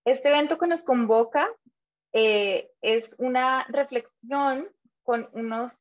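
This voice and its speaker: A woman's voice: high-pitched, slow. Polished woman moderator, lo-fi sound. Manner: polished, fluent, scripted-sounding. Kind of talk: formal event moderation. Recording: dull lo-fi sound.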